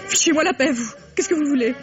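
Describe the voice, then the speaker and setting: A woman's voice: high-pitched, fast talker, lightly acted. An actress playing a capricious, passionate rich young woman in a vintage French radio drama, with a mocking, imperious delivery. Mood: scornful. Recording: dull vintage radio recording with music.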